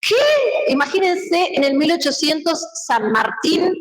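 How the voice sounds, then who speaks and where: A woman's voice: high voice, expressive, a little slow. An Argentine woman giving an impassioned political talk, with emphatic repetition and rhetorical questions. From Mendoza, Argentina. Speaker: book presenter, political speaker. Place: indoor public book presentation. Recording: roomy event hall, distant microphone.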